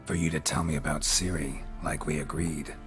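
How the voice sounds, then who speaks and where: An actor playing a stoic, battle-hardened monster hunter in a video game, terse and deadpan. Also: male voice, extremely low pitch, moderate pace, over music. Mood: flat, matter-of-fact. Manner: deadpan, laconic, clipped. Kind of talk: restrained video game acting.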